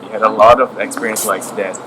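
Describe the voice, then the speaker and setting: A young Brazilian man, a native Portuguese speaker, talks about student life in English, eager and full of "like." His voice sits a bit low. Steady noise hums behind him. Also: fast. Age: young adult. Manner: eager, enthusiastic, many fillers like "like". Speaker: exchange student. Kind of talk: casual interview answers. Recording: clear background noise.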